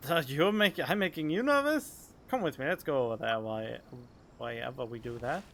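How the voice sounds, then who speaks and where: A man's voice: medium pitch, expressive, brisk, a bit bright, a little hiss or hum. A young man giving casual, informal let's play commentary, half talking to himself as he streams a game. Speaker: gaming streamer.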